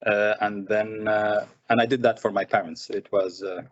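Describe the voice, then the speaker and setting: A man from Sudan with a lowish voice talks about his work as a filmmaker at a seminar. He thinks aloud, filling pauses with "uh" and repeating words as he goes. The recording sounds muffled and lo-fi. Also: fairly even intonation. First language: Arabic. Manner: thoughtful, many fillers and repeated words. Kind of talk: reflective personal talk.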